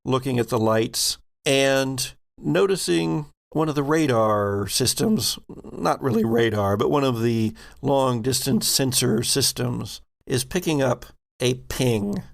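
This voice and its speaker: A man acting as game master, narrating a tabletop roleplaying game in a halting, drawn-out way. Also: mid-range voice, gravelly.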